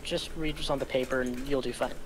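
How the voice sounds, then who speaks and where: A cool, cocky male scammer played by a man gives instructions in a flat, even tone. The table-read recording has clear noise. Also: medium pitch, quick pace, lightly acted, low-quality recording. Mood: cool, assured. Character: cocky male scammer coolly coaching his accomplice. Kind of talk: table read of a film script.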